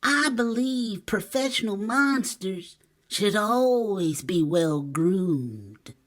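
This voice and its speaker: A woman's voice: mid-range voice, animated, takes it slowly. A woman voicing a prim, courteous, well-groomed male monster in a children's storybook, in a silky, genteel voice. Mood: prim, proud. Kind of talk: children's storybook read-aloud.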